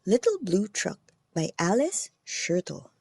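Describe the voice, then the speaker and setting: A woman narrating a children's picture book aloud with expressive, deliberate phrasing. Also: on the low side, gravelly, over music. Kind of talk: animated storybook read-aloud.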